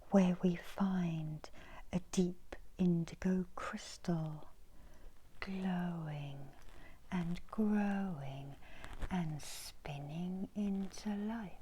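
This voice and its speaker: A middle-aged woman whispering a guided ASMR meditation in a breathy, hushed, measured voice with long pauses, as a reiki healer. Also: fairly low pitch, low-quality recording. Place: small indoor room. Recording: clear background noise and ambience.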